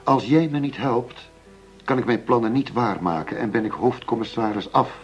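An actor playing a middle-aged police chief going undercover in a radio play, persuasive and matter-of-fact. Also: male voice, medium pitch, normal speed, faint music behind, lightly acted. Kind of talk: Dutch radio play acting. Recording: dull lo-fi radio play recording.

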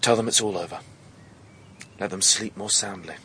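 A man's voice: lowish voice, moderate pace, bright, forceful tone, husky, exaggerated voice. An actor playing a brooding, resolute young Englishman in a radio drama, with a grave, clipped, intimate delivery. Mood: quietly resolute. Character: brooding young man, resolute, newly hardened.

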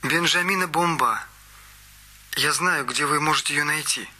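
A man's voice: fairly high pitch, normal speed, pushed and bright. An actor playing an anxious young man on the run from killers, asking urgent, worried questions in a radio play.

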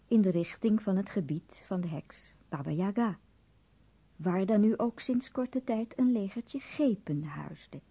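A woman tells a radio-play story in a measured way. Her voice is fairly low, mellow and very husky, on a slightly muffled recording. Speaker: narrator. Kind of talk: scripted radio play narration.

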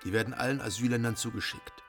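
A man's voice: medium pitch, fairly even intonation, fast talker, soft background music, close to an everyday voice. A man playing a brusque, bureaucratic German police official in a radio play, impatient and official. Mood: curt, impatient.

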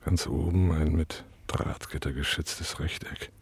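Radio drama casting: a weary, detached prisoner after torture; extremely low, gravel-edged voice, fragmented delivery. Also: male voice, fairly even intonation, no echo, full character voice. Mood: bleak, dazed. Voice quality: husky, breathy, gravelly. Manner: halting, fragmented, brooding inner monologue. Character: tortured male prisoner in a cell, numb, detached, bitterly weary. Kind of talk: literary radio play monologue.

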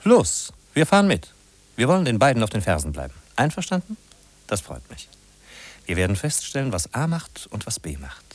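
A man's voice: medium pitch, huge pitch swings, normal speed. A man acting as the narrator of a 1950s German radio drama, an urgent, musing guide who addresses the listener with emphatic rhetorical questions. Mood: teasing, conspiratorial. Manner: rhetorical, emphatic, questioning then answering himself.

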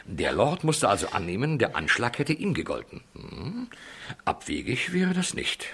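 An actor playing a dry, sarcastic chief inspector in a radio crime play, questioning a subordinate with exasperated emphasis in a husky voice. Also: male voice, medium pitch, huge pitch swings, moderate pace, a bit bright, full character voice. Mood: sceptical, dry. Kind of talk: German radio play acting.

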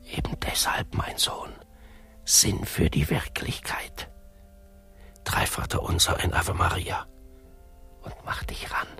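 Husky whisper, scheming male confessor, pious, conspiratorial, satirical radio play. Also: low-pitched, takes it slowly, long pauses, bright, forceful tone, faint music behind, heavily acted. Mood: instructing, sly. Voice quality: husky, breathy.

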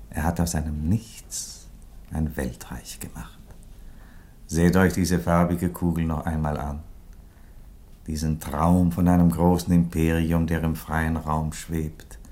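Very deep-voiced, ironic Roman emperor. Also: male voice, warm tone. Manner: measured, theatrical, lingering on phrases. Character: ironic, dignified Roman emperor resigning his empire, male. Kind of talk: German radio play stage acting.

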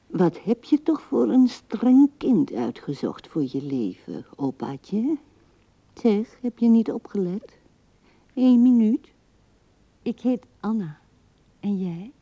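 A woman's voice: mid-range voice, big pitch swings, takes it slowly, mellow, heavily acted. An actress playing an old widowed woman who muses tenderly and drifts as she talks to herself in a radio play, on a dull older recording. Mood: wistful, amused. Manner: musing, drifting, talking to herself and the absent.

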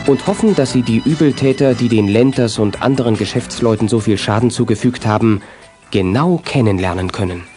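A man's voice: medium pitch, a little fast, a little mellow, soft background music, natural voice. A man narrating a scripted youth detective radio play as its storyteller.

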